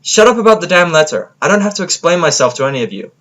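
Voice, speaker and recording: A man's voice: on the high side, big pitch swings, fast, fairly bright tone, pitched above the performer's own voice. Man playing a grumpy, stubborn male outsider. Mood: angry. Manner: blunt, irritable.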